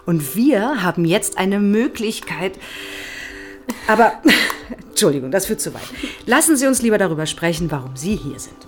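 An actress playing an eager, probing middle-aged research psychologist who explains politely in an audio drama. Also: female voice, medium pitch, animated, moderate pace, close to an everyday voice. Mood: animated, enthusiastic. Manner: polite, explanatory, keenly interested. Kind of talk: naturalistic audio drama dialogue.

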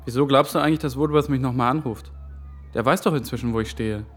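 A man's voice: medium pitch, fast talker, soft background music, close to an everyday voice. A man playing an earnest, anxious young intern who talks warily in a radio drama. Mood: skeptical. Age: young adult. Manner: wary, questioning.

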